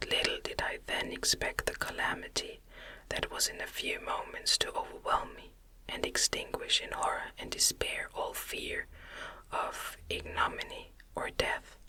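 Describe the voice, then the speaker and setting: Soft whispering ASMR narrator. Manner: slow, soothing cadence. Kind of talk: whispered ASMR audiobook reading of a classic novel.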